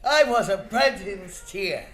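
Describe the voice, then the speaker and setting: A man playing a timid, sentimental old miser in a radio drama, with a halting, theatrical delivery. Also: high voice, animated, a bit bright, soft background music, heavily acted. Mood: delighted, nostalgic.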